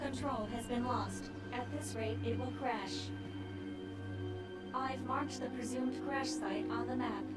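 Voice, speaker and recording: A woman's voice: fairly high pitch, slow, recorded from a distance, over loud music. A woman voicing a logical, emotionless artificial intelligence in a video game, speaking in formal, precise statements. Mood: detached, analytical. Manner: formal, precise, matter-of-fact delivery. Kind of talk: scripted video game acting.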